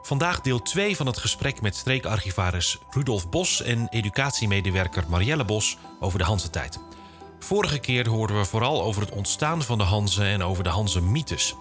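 A male podcast host with a Netherlandic Dutch accent and a very low voice. He presents and asks questions in a conversational way, with faint music in the recording. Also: lively intonation, normal speed, a bit bright. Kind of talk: scripted intro and casual interview.

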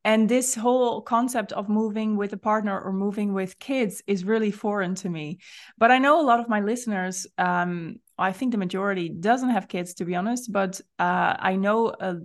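A woman hosting a podcast, speaking in a conversational interview style. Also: medium pitch. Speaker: podcast host. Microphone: remote call.

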